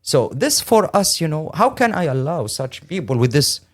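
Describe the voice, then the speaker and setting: A middle-aged man from Syria with a Syrian Arabic accent, speaking English as a second language in an earnest, halting, emphatic interview account. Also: a little high, sing-song. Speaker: interview guest, lawyer and humanitarian.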